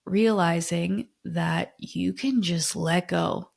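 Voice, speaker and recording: A young woman hosting her own podcast in a chatty, earnest way, a little raspy and nasal from a cold. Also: lowish voice, takes it slowly, a little mellow. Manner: chatty and earnest, with filler words. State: getting over a cold, a bit raspy and nasal. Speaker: podcast host. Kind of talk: casual solo podcast talk.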